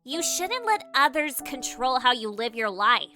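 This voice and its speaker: A woman's voice: high voice, unhurried, soft background music, exaggerated voice. A woman playing a brash, childish devil girl who is loyal and boastful, in an anime fan-dub style. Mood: gentle, consoling. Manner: excitable, loud, blunt.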